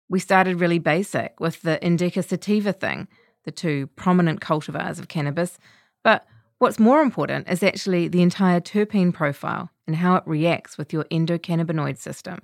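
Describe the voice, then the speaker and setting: A woman reading a scripted feature article in a measured, composed way. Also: on the low side, no echo, clean studio sound. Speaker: narrator of a long-read podcast.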